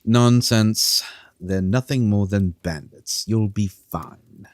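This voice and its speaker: A man's voice: fairly low pitch, slow, darkish tone, no echo. A man playing a haughty, dismissive king in a fantasy audio drama, imperious and contemptuous. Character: haughty, dismissive king giving orders to subjects.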